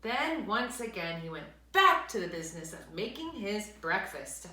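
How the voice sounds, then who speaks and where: Animated woman reading a children's story, echoey room. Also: mid-range voice, slight background noise. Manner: dramatic emphasis, drawn-out words, hushed asides. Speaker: read-aloud storyteller for children. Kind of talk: expressive children's story reading with asides to listeners.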